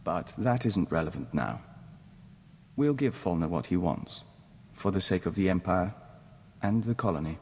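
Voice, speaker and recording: An actor playing a calm, dutiful diplomat bound to a hive mind, speaking formally in video game dialogue. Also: male voice, low voice, in a large hall, slight background noise. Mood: earnest, subdued. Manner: formal, measured, speaks of himself as we.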